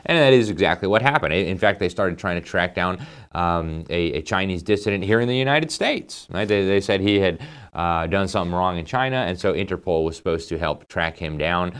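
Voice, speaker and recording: Deep-voiced man hosting political commentary. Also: animated, a little fast, fairly bright tone, clean tone. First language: English. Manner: emphatic, conversational, with frequent fillers and asides. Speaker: host.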